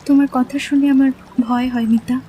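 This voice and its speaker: A woman playing a gentle, earnest young woman in a romantic audio drama, speaking softly and candidly about her doubts in love. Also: high voice, level tone, soft background music, lightly acted. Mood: anxious, tender. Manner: soft-toned, sincere, measured. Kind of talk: Bengali literary audio drama acting.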